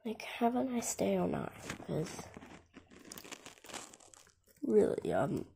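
A young girl talks slowly and softly, often dropping to a whisper, her voice croaky and gravelly from a cold. Her tone is mellow and a little dark, with a faint hiss in the background. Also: medium pitch, huge pitch swings. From Australia. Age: child. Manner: soft, half-whispered, rambling with many "like" and "um". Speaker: gaming channel host. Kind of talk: casual game tour commentary. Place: at home, filming a laptop screen. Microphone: phone camera.

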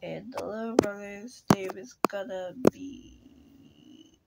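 A woman's voice: medium pitch, big pitch swings, takes it slowly, vocal fry. A young girl chatting casually while playing a game, rambling and restarting, sometimes dropping to a whisper. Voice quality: rambling, often trails into a whisper. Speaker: game video creator. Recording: noisy phone recording with clicks.

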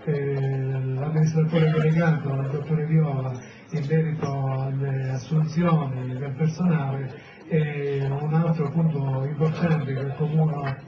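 Hesitant Sicilian mayor, distant echoey hall. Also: male voice, a little high. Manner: hesitant, many fillers. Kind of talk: public speech at a press event. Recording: roomy, distant, dull, noisy.

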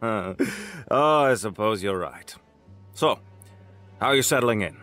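Man playing a jovial, animated older mayor. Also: fairly low pitch, takes it slowly, faint music behind. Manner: hearty, fatherly. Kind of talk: audio drama acting.

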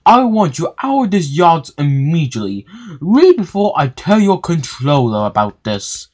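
A man's voice: on the high side, sing-song, normal speed, darkish tone, voice pushed up. A man voicing a furious, bossy film manager who scolds and gets flustered in a children's story. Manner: scolding, exclamatory.

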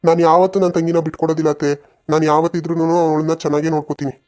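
A woman's voice: deep voice, level tone, fast, darkish tone, lightly acted. A woman voicing a protective, dutiful young village man in a cartoon family drama, earnest and insistent, with a rural southern Karnataka accent. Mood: determined.

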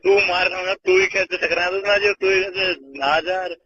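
A man's voice: sits high, steady tone, moderate pace, bright, forceful tone. A man with a Rajasthani accent, chatting casually and privately as a caller on the phone. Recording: dull lo-fi phone call, background noise.

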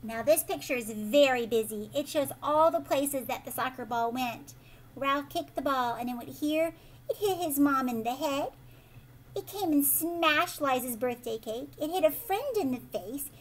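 An American woman in her thirties reads a picture book aloud to children expressively, pausing to ask the listener questions. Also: high-pitched. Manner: animated, prompting listeners with questions. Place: living room at home, indoors.

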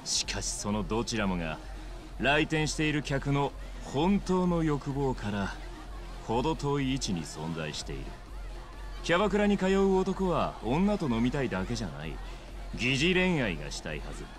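An actor playing a smug, suave young male gangster boss in a video game cutscene, with smooth, polite, theatrical speech. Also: mid-range voice, sing-song, normal speed, faint music behind, close to an everyday voice. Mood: smug, persuasive. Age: young adult.

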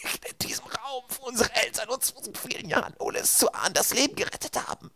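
Man as a high, strained teenage girl. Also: expressive, fast talker, gravelly, pushed and bright, heavily acted. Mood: desperate, hushed. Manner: earnest, weakened. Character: poisoned teenage girl, clever and protective elder sister.

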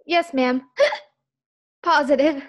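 A woman's voice: sits high, fairly even intonation, very slow. A woman acting a good-natured maid, deferential yet cheeky, in a 1940s-style radio sitcom. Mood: assuring.

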